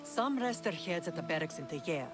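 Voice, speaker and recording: A woman's voice: low-pitched, sing-song, a little fast, over music. A woman playing a fierce, unforgiving middle-aged warrior mother in a video game, firm and resolute. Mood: steely. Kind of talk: dramatic video game acting.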